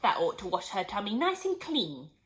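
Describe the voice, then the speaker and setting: A woman's voice: mid-range voice, sing-song, normal speed, a bit bright. A woman voicing a mischievous young boy in a children's storybook reading. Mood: sly.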